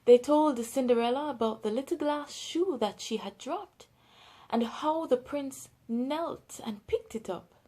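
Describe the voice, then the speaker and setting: A woman with a Jamaican accent narrating a children's storybook in an expressive, deliberate way. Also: high-pitched. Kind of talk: scripted storybook read-aloud for young children.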